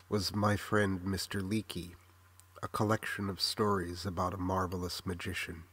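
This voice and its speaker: A man in his sixties with an American accent, reading a reflective scripted memoir in a measured, literary, earnest way. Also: lowish voice, fairly bright tone. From Los Angeles, United States. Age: 60s. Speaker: memoir narrator.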